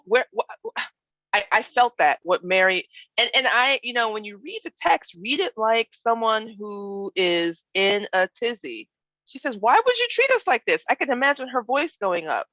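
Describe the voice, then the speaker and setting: A woman guest preacher giving an expressive, reflective sermon that mixes personal storytelling with emphasized phrases and questions to her listeners. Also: fairly high pitch, no room sound. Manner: expressive, acts out imagined speech and emphasizes key words. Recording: dull, lo-fi online worship audio.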